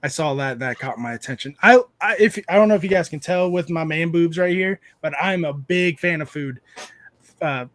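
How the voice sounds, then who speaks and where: A man in his thirties hosts a loose livestream chat, rambling from one joke to the next as he reads out messages from chat. His voice sits a little high for a man. The sound is dry and close, with no room around it. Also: normal speed, fairly bright tone, dry recording. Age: 30s. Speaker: livestream host.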